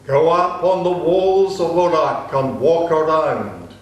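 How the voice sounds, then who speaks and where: Slow solemn man in a very echoey room. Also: on the high side. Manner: slow, measured, solemn recitation. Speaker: reader. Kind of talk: dramatic reading of an ancient epic.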